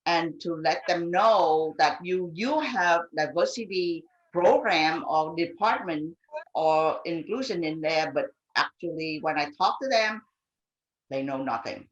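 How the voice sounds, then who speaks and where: A woman who speaks English as a second language, talking earnestly and haltingly in a panel discussion. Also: fairly low pitch, expressive, dull audio. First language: not English. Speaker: immigrant and refugee advocate on a panel.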